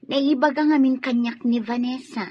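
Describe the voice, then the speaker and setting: A woman's voice: high voice, fairly even intonation, normal speed, a little hiss or hum, close to an everyday voice. A woman playing a coy, teasing young single nurse in a radio drama. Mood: chatty. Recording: muffled radio sound, faint music.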